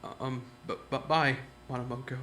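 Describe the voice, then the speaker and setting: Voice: mid-range voice, steady tone, a little fast, fairly bright tone, a little hiss or hum, close to an everyday voice. An actor voicing a jumpy young man who stammers and talks anxiously. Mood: stammering.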